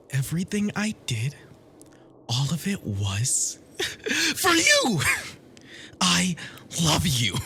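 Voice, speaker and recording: A performer playing an obsessive, jealous, violently devoted lover in an ASMR roleplay, theatrical and swinging between breathy whispers and manic laughter. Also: mid-range voice, bright, forceful tone, slight background noise, full character voice. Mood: pleading, hurt. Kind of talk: ASMR roleplay audio drama.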